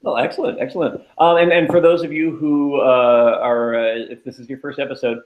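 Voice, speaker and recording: A man with a mid-range voice leads a relaxed chat, prompting his co-host with dry, mock-formal asides. His delivery is easygoing and a little teasing. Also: mid-range voice, brisk, highs cut off. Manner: jokey, lightly formal, interviewing. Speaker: podcast co-host. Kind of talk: casual chat.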